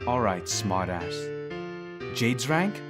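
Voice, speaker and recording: A man's voice: mid-range voice, big pitch swings, slow. A man playing a smug, teasing immortal thousands of years old in an audio drama, with a sardonic, needling manner. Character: teasing three-thousand-year-old dream maker, smug male immortal. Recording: voice under plucked guitar music.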